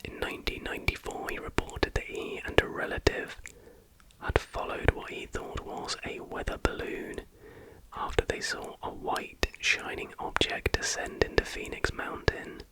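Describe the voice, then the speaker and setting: Breathy whisper, ASMR host, informal, unhurried reading. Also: rough recording. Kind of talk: whispered ASMR, reading aloud with casual asides.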